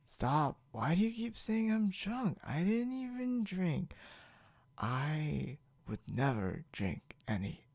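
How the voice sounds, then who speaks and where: A man playing a stubborn, boastful, confused drunk young man in an ASMR roleplay, halting and rambling with mumbled, repeated words. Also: fairly high pitch, big pitch swings, a little mellow, dry recording, exaggerated voice. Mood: indignant. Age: young adult. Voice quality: gravelly, creaky.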